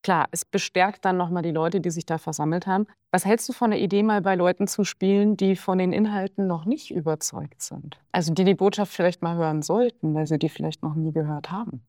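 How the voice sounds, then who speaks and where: Woman, lowish pitch, interviewer, presenting and questioning, dry recording. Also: brisk, hardly any pauses, mellow, no room sound. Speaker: interviewer, radio-style host.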